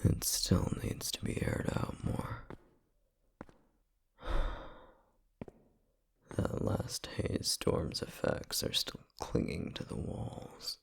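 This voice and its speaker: A man playing a brooding, protective flame demon in an ASMR roleplay, speaking in a grave, breathy, gravelly near-whisper with deliberate pauses. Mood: wistful, subdued. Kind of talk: ASMR roleplay, intimate scripted monologue.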